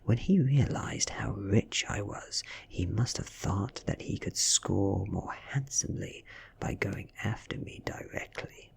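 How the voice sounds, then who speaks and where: Whispering British man, very breathy and low. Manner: hushed, deliberate, measured delivery. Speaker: ASMR reader. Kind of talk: soft-spoken ASMR audiobook reading.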